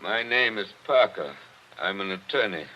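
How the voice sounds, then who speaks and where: An actor playing a tense, haunted attorney who talks in an urgent, brooding way, in 1940s radio acting. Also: male voice, low voice, lively intonation, normal speed, bright, forceful tone. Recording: dull old radio broadcast.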